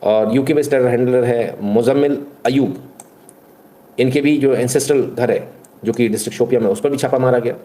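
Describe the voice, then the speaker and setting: A man's voice: mid-range voice, brisk, warm tone. A man hosting live news commentary, speaking emphatically and colloquially with rhetorical questions and bits of English mixed in. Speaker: live commentary show host.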